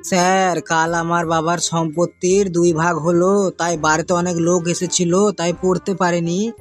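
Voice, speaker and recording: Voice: medium pitch, level tone, moderate pace, faint music behind, lightly acted. A performer voicing a cocky, mischievous schoolboy in a comic cartoon, boastful and recitational, with a Bengali accent. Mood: matter-of-fact excuses.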